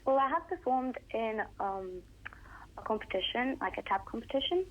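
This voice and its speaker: A woman's voice: on the high side, animated, normal speed, lo-fi sound, a little hiss or hum. A young girl, a Russian speaker at home, giving shy, hesitant short answers in a radio interview. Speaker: young singer guest.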